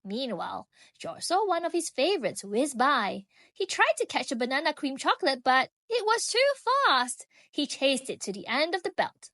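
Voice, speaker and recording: A woman reading a children's book aloud in an expressive, animated way, drawing out key phrases for young listeners. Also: high-pitched, normal speed, dry recording, clean studio sound. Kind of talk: expressive storybook read-aloud.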